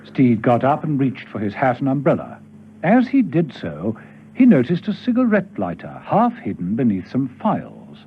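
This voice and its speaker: Lively male radio drama narrator. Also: medium pitch, normal speed, slight background noise. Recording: dull old radio recording with music.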